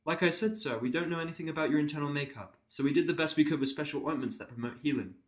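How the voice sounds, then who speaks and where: A timid, helpful pony nurse played by a man speaks in a lifted, feminine pitch. She is polite and earnest, explaining things deferentially as an audio drama character. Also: rapid-fire, fairly bright tone, natural voice. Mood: matter-of-fact.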